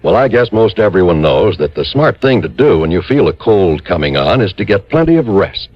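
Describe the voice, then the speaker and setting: A man's voice: low voice, very animated, brisk, no room sound. A man announcing on 1950s radio, reading scripted advertising and credits into a close studio microphone. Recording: muffled old radio broadcast, faint music.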